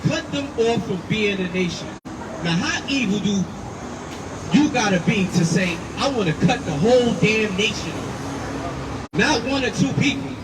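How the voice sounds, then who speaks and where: A man preaching outdoors, forceful and emphatic, repeating his points. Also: sits high, expressive, unhurried. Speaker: street preacher. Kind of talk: street preaching. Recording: distant mic with loud street noise.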